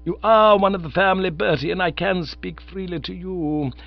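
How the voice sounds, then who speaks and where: Guy doing a bossy posh aunt, high fussy voice, pompous, kinda muffled recording. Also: fairly even intonation, vocal fry, dry recording. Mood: imperious. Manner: pompous, lofty, halting over delicate words. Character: imperious stern middle-aged aunt, female. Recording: slightly dull lo-fi recording.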